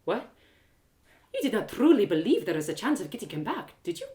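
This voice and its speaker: A woman acts out a blunt, condescending female advisor in an audio drama. She talks down breezily, with lively pitch, in a roomy space. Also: brisk.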